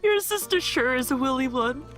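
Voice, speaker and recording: A woman playing a gentle, wise and playfully fond goddess in an audio drama, speaking reflectively. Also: high-pitched, animated, moderate pace, soft background music. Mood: friendly.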